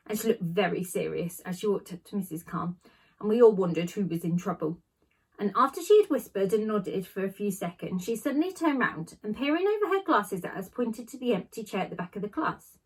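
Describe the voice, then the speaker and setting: A woman reads a children's novel aloud like a teacher to a class, stressing words and pausing for drama. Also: medium pitch, a little mellow, slightly rough, poor audio.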